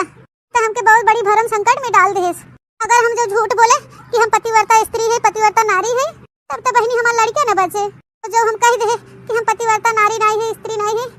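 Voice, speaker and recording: Very high, bright Awadhi village mother-in-law. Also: slight background noise. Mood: worried. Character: anxious, confessing village mother-in-law in comedy cartoon. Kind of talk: Awadhi comedy cartoon dubbing.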